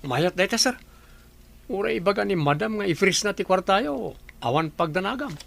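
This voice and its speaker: High creaky-voiced man as a respectful employee, acted out. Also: animated, moderate pace, a bit bright, exaggerated voice. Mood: respectful, advising. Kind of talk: dramatised story dialogue.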